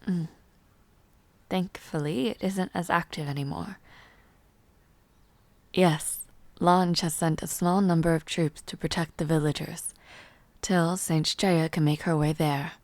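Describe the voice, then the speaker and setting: A refined, weary noblewoman, acted by a woman in a fantasy audio roleplay. She speaks very slowly, in a slightly low register with a touch of fry. Her manner is formal, gentle and patient, with soft hesitations, and the sound is close and dry. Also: fairly even intonation, no echo. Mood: composed, patient. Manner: measured, formal, hesitant, softly spoken with pauses. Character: refined, gentle, tired noblewoman ruler mentoring a newcomer. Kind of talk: fantasy audio roleplay, intimate one-sided dialogue.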